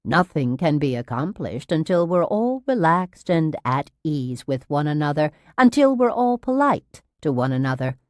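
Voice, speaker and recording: A woman's voice: deep voice, very animated, normal speed, few pauses, no echo, studio quality. A woman reading an audiobook as a severe nurse who talks in a syrupy, falsely soothing, patronising and overly reasonable manner. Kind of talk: dramatised audiobook narration.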